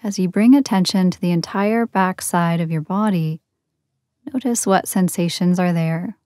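A woman leading a guided meditation in a soothing, gentle, unhurried manner with long pauses between phrases. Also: fairly low pitch, dry recording, clean studio sound. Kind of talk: guided yoga nidra meditation.

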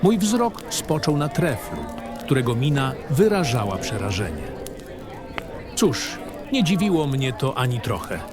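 A man's voice: mid-range voice, sing-song, a little slow, over music. A man giving a grave, dramatic first-person narration as a war-weary, cynical baron in a fantasy audio drama. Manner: grave, literary storytelling.

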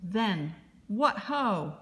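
A woman reading a children's picture book aloud as a librarian, in expressive, deliberate narration. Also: mid-range voice.

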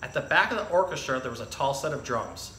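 A man's voice: medium pitch, expressive, quick pace, sharp tone. A man in his thirties talking and reading to children in a casual, explanatory, educational way as a zookeeper presenter. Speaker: zookeeper presenting an animal storytime. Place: indoor room with hard walls and tiled floor. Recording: echoey room, faint background noise.

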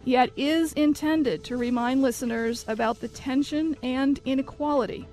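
A woman's voice: high-pitched, steady tone, moderate pace, a little mellow, soft background music. A young woman reading scripted announcements as host of a live student radio broadcast. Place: college radio studio.

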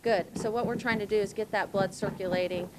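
A woman instructing on a firefighter demonstration, calm and explanatory. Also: fairly quick. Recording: loud background rumble.

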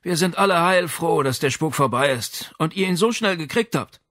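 A man's voice: on the high side, expressive, moderate pace, a bit bright, no echo, clean studio sound, pitched above the performer's own voice. A man voicing a hearty but sheepish male shopkeeper with an emphatic manner in an audiobook. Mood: relieved, congratulating. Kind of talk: audiobook character dialogue.

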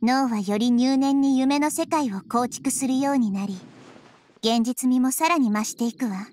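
A woman playing a wise, gentle childlike girl deity in an anime game, explaining things in a measured, thoughtful way. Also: sits high. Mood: calm, explanatory. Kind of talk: Japanese anime game voice acting. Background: background music.

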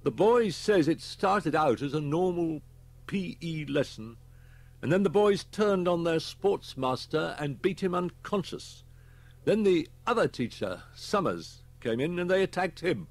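A man with a British accent voicing a brisk, authoritative chief inspector in an audiobook, measured and official. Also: on the high side, animated, pitched above the performer's own voice. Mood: grave. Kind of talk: audiobook character voice.

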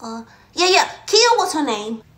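A woman playing a mischievous little girl in a comedy skit, telling tall tales in a theatrical, drawn-out way. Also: high voice, sing-song, fairly bright tone, full character voice. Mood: spooky storytelling. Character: mischievous little girl Coco, cookie-loving tall-tale teller. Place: small bedroom, indoors. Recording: roomy echo, faint music behind.

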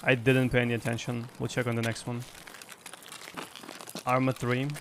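A man's voice: medium pitch, completely flat delivery, moderate pace, slight background noise. A young man whose first language is Russian, chatting casually over a headset while playing, swearing and repeating himself. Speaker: streamer. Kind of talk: casual gameplay commentary.

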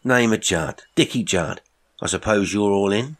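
A man's voice: on the low side, moderate pace, pitched above the performer's own voice. A man voicing a gaunt, eager young castaway with a Cockney accent, casual and cheeky. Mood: breezy.

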